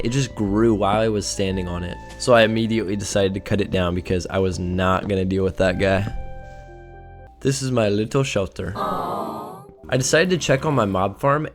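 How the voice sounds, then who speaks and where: A young man with a slightly raspy voice from a cold, casually narrating his gameplay and sometimes tripping over his words. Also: fairly low pitch. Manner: casual, offhand, trips over words now and then. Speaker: gaming video creator.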